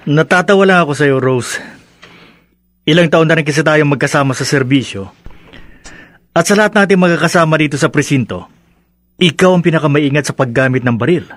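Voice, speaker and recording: A man's voice: fairly high pitch, a little fast, pauses often, fairly bright tone, dry recording. A man playing a teasing, curious police officer in a radio drama, with a conversational delivery. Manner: inquisitive, conversational.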